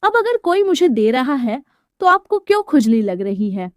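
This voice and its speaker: A woman's voice: sits high, sing-song, moderate pace, dry recording, studio quality. A woman voicing a brazen, evasive housemaid wife in a dramatised story, retorting dismissively. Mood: defensive. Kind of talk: dramatised Hindi moral storytelling.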